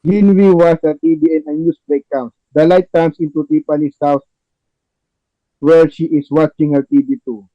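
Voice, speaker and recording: Very mellow, higher-voiced male narrator. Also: moderate pace, no echo. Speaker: narrator reading stage directions. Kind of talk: scripted narration in a table read. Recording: dull, dry, lo-fi recording.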